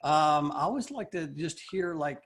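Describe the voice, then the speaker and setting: A man hosting a leadership podcast, asking questions haltingly with many fillers and a friendly enthusiasm. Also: fairly high pitch, lively intonation, a bit bright. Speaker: podcast host. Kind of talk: podcast interview.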